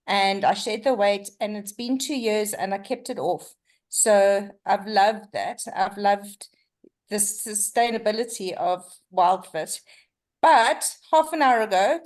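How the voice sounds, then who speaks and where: A woman, chatting cheerfully on a video call. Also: mid-range voice. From South Africa. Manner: cheerful, excited.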